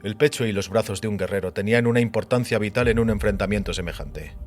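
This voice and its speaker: An actor playing a calm, enigmatic male visitor who speaks with measured, solemn authority in an audio drama. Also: lowish voice, lightly acted. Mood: explaining calmly. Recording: music bed under the voice.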